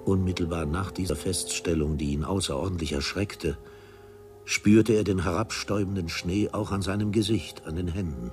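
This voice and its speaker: A man's voice: deep voice, steady tone, moderate pace. A man narrating a literary radio drama in a formal, solemn manner. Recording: music behind the voice.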